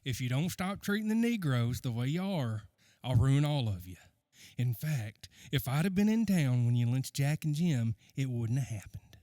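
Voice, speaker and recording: A stern, powerful Southern landowner, played by a male actor in radio theatre. He speaks in a commanding, threatening way, close and dry, with a mid-pitched voice. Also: animated, moderate pace, warm tone, no room sound, close to an everyday voice.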